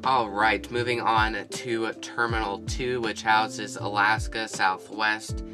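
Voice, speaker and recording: A man's voice: on the low side, fairly even intonation, slow. A man who is feeling a bit sick, enthusiastically narrating a walkthrough of his model airport and listing each aircraft. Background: guitar music.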